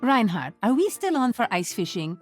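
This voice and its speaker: Lively confident female soldier. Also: fairly high pitch, normal speed, soft background music. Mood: teasing.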